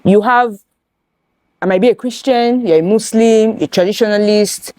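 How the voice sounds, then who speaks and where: Lively Nigerian woman hosting a chat. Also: medium pitch, moderate pace, dry recording. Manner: lively, animated, switching into Yoruba phrases. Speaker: host. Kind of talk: casual chat with friends.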